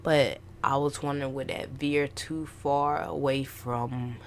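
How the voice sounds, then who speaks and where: Young woman, low gravelly voice, stuffy nose, rambling casual vlog talk, faint hiss. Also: steady tone, a little slow. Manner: meandering, lots of ums and restarts. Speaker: artist vlogger.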